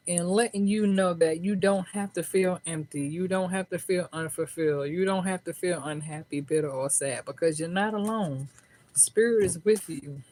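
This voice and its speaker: A woman's voice: lowish voice, a little mellow. A middle-aged woman giving a casual tarot reading, talking in a chatty, folksy way, swearing freely and often checking in with "right?". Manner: colloquial American speech. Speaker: tarot reader.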